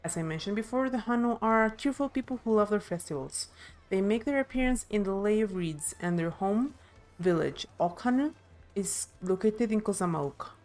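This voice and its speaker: A woman's voice: medium pitch, lively intonation, normal speed, a little mellow. A woman streaming a game, reading dialogue aloud with side comments and the occasional stumble. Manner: reads with occasional stumbles and self-corrections. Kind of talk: live playthrough, scripted dialogue read aloud with side comments. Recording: game music behind the voice. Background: game music.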